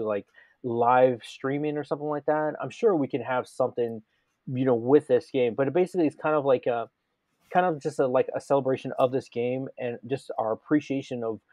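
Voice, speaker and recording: Mellow-voiced enthusiastic man podcasting. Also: medium pitch, no room sound. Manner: enthusiastic, rambling with many restarts. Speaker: podcast co-host. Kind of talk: casual gaming podcast chat.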